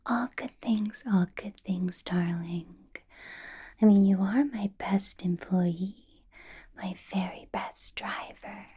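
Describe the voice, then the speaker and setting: A woman playing a possessive, menacingly sweet vampire boss in a whispered ASMR roleplay, coaxing and polite with drawn-out words. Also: medium pitch, dark tone. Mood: sweetly flattering. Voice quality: breathy whisper.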